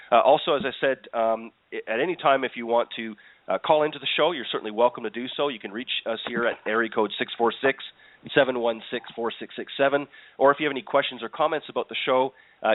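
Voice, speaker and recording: A man hosting a live internet golf radio show, hoarse from a cold, talking loosely with many fillers and restarts. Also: medium pitch, dry recording. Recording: phone-like line, dry.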